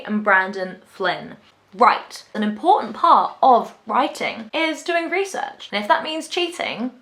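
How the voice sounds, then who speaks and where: A woman's voice: mid-range voice, sharp tone. A young British woman talking casually to camera as a vlogger, playful and informal, often thinking aloud. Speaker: vlogger presenting a creative challenge. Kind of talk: casual chatty vlog talk to camera.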